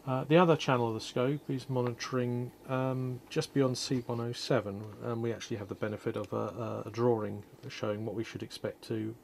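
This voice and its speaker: A man calmly explaining an electronics repair at his workshop bench, step by step, with frequent ums and uhs. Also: mid-range voice, a little fast, vocal fry, hardly any pauses, a little hiss or hum. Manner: calm, methodical, many ums and uhs. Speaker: electronics restorer. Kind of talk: technical repair walkthrough.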